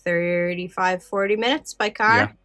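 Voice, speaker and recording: A woman's voice: lowish voice, measured pace, sharp tone, dry recording, clean studio sound. A woman chatting casually as a podcast co-host. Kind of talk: casual chat.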